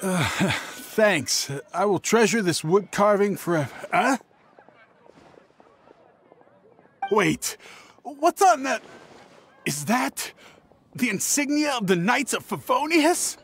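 An actor playing a nervous, shifty young merchant in a fantasy game, hesitant, stammering and evasive. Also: male voice, high-pitched, lively intonation, slow pace, a bit bright, faint music behind, full character voice. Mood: alarmed. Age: young adult. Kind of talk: scripted fantasy game voice acting.

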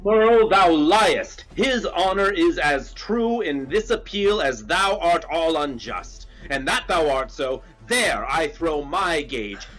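A man's voice: fairly high pitch, animated, slow, a bit bright, faint music behind, full character voice, low-quality recording. Man acting a hot-headed, swooping young lord. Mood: furious. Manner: impassioned, declamatory. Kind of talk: Shakespearean staged reading.

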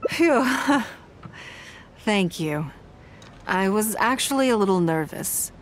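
A woman voicing a poised, elegant noblewoman leader in a video game, composed, gracious and measured. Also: medium pitch, slight background noise, natural voice. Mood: warm. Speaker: game character.

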